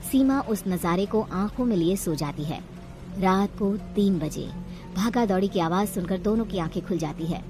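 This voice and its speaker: A woman's voice: mid-range voice, animated, normal speed, over music. A woman storyteller giving dramatic scripted narration of a horror story.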